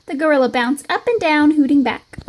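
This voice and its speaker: A woman reading a children's picture book aloud in an expressive storytelling style. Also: high-pitched, brisk. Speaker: storybook reader.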